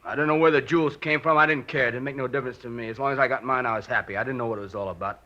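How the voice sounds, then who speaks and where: An actor playing a nervous, defensive small-time fence with an American accent and slangy phrasing in a 1950s radio crime drama. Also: male voice, medium pitch, fairly even intonation, rapid-fire, sharp tone, close to an everyday voice. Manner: defensive, slangy, clipped working-class phrasing. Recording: dull vintage radio recording.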